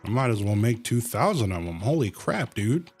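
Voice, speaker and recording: A man livestreaming a video game with casual commentary, thinking aloud in a halting way. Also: fairly low pitch, big pitch swings, slightly rough, faint music behind.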